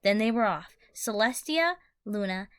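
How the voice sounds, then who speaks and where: Bright, animated woman storyteller. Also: medium pitch, a little slow, clear, resonant tone, no room sound. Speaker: narrator of a fan fiction audio play. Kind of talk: scripted storytelling narration.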